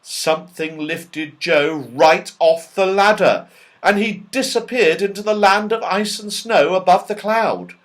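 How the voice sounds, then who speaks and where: A man narrating a children's storybook in an expressive, dramatic way. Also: high-pitched, a little slow. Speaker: audiobook reader.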